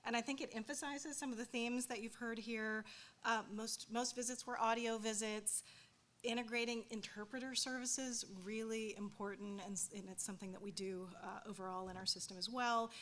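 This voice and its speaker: A woman's voice: on the high side, fairly even intonation, moderate pace, a bit bright. A woman on a panel, speaking conversationally and thoughtfully and often ending points with a questioning "right". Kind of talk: panel discussion.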